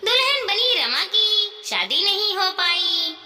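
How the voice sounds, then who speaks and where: A woman narrating a scripted moral story in a measured, dramatic storytelling manner with drawn-out phrases. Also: extremely high pitch, sing-song, over music. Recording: distant voice with long hall reverb.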